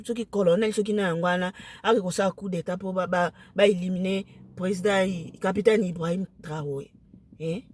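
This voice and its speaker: A woman with a Lingala accent, a native Lingala speaker, talking casually to camera, emphatic and excited, switching into French. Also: medium pitch. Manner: emphatic, excited, mixes French into her speech.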